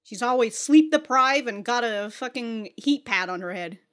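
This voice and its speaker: A woman's voice: on the high side, expressive, moderate pace, a bit bright. A woman giving casual, slangy reaction commentary and swearing freely as the host. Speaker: host of an anime reaction show.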